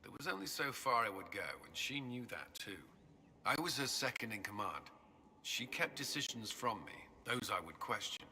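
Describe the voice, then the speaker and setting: A man's voice: mid-range voice, big pitch swings, normal speed, bright, forceful tone, a little hiss or hum, heavily acted. An actor playing a guilt-ridden male templar commander in a video game, speaking solemnly and earnestly. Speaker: game character.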